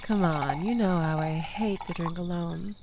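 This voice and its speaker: A woman's voice: on the low side, a little slow, noisy background. A woman playing a manipulative, flirtatious ex-lover in an intimate audio roleplay, languid and teasing, coaxing the listener with theatrical pleading.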